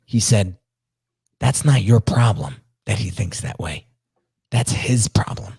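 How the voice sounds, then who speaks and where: A middle-aged man with a strong New Jersey accent talks in a deep, low register, hosting a podcast conversation. He tells stories with lively energy, doubling words and restarting sentences. The sound is close and dry, with no room around it. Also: slow, vocal fry, slightly rough, dry recording. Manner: animated storyteller, repeats words, many restarts. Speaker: podcast host. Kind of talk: casual interview chat.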